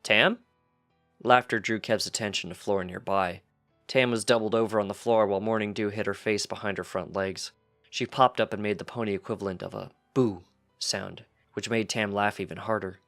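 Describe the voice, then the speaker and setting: Low-voiced man narrating a story. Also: fairly even intonation, fairly quick, a bit bright, soft background music. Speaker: fanfiction reader.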